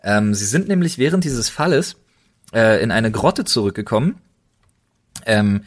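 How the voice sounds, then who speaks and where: A man with a fairly low voice co-hosts a casual podcast. He talks colloquially and emphatically, in an enthusiastic storytelling way. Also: expressive, measured pace. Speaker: podcast co-host.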